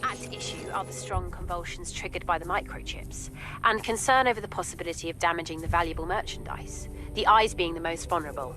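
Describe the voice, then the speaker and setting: An actress playing a detached researcher dictating findings in a video game, clinical and impersonal. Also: female voice, on the low side, brisk, over music, close to an everyday voice.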